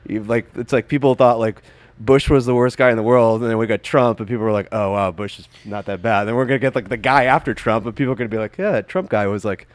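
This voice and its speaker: Low-voiced man co-hosting a podcast. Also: fast, no echo. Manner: loose, filler-heavy, argumentative. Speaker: podcast co-host. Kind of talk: casual chat.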